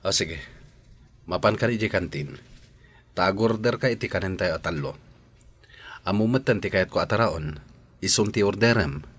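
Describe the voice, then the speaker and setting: A man's voice: deep voice, normal speed, pauses often, husky. A man with a Filipino accent playing a polite, easygoing office boss in a radio drama. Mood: composed. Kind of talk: Ilocano radio drama acting.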